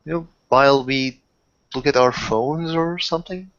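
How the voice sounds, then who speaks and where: A man's voice: mid-range voice, normal speed, fairly bright tone. A man casually narrating his character's actions in a tabletop roleplaying game, hesitant and restarting phrases. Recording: dull lo-fi voice recording.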